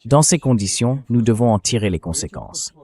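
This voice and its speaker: A man's voice: mid-range voice, animated, moderate pace, dark tone. A synthetic male voice hosting a political interview, reading a translated dub as spoken commentary. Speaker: interview host. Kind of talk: political talk show commentary, dubbed translation.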